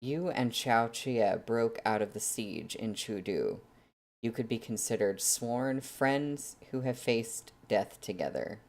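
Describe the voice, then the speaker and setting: A reader plays a scornful bandit commander in a novel read aloud. He speaks slowly and sounds suspicious of whoever he addresses, weighing each remark. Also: mid-range voice.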